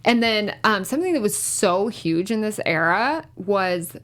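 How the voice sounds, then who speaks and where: A woman with an average-pitched voice speaks as a recap show panelist. She sounds informal and enthusiastic, in a loose conversational style. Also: lively intonation, a little slow, fairly bright tone. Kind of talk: casual chat.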